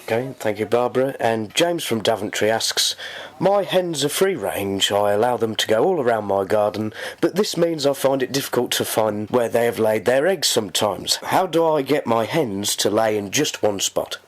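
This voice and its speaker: A man's voice: mid-range voice, expressive, moderate pace. A man, reading scripted links and questions as a radio presenter.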